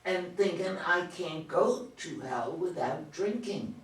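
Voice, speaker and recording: A high-pitched male voice in a casual group discussion. It is very echoey and distant from the mic. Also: animated, takes it slowly. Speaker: participant in a play discussion group. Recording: very echoey room, distant mic, background noise.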